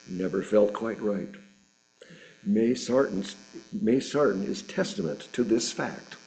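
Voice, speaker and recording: Male sermon reader, relaxed dark tone on the lower side, measured scripted delivery. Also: low-quality recording. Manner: measured, deliberate phrasing with pauses. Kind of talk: sermon read from a written script.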